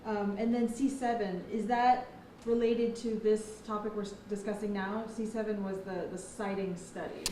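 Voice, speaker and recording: Woman, news interviewer, casual questioning, medium pitch, echoey room, faint background noise. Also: little pitch movement, moderate pace, warm tone.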